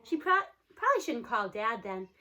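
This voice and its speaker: A woman reading a storybook aloud as narrator, expressively, with an animated manner for young listeners. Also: a little high, moderate pace. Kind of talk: expressive storybook read-aloud with character dialogue.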